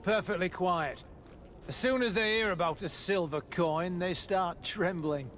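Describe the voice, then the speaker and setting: An actor playing an eager, obsequious guard underling in a video game, deferential and ingratiating. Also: male voice, high-pitched, unhurried, a bit bright, slight background noise. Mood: servile.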